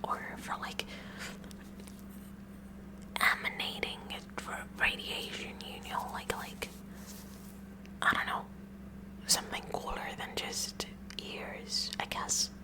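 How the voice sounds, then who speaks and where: A woman voicing a kooky, curious teenage science club president in a breathy, halting whisper, for an ASMR roleplay. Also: natural voice, rough recording. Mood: curious, musing. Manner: halting, rambling, repeats words.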